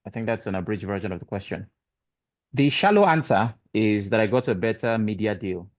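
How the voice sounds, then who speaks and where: A man who works in radio, speaking as a panelist on a video call in a relaxed, joking way. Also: lowish voice, a little fast, no room sound. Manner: relaxed and self-deprecating, joking. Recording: dull lo-fi call audio.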